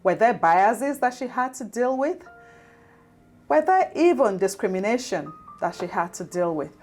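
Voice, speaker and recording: Poised woman hosting an interview. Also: mid-range voice. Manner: measured, formal introduction with slow emphatic phrases. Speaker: podcast host. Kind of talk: interview intro and questions. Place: studio.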